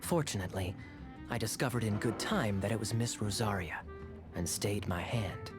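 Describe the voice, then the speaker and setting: An actor playing a suave, teasing young knight captain in a video game, smooth-talking and theatrical. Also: male voice, lowish voice, normal speed, music in the background, lightly acted. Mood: sly teasing.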